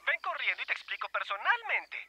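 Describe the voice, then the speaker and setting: A woman voicing a flamboyant, excited male modeling agent through a phone filter in a cartoon. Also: a little high, brisk. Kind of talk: animated cartoon dub acting. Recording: sharp phone call, faint noise.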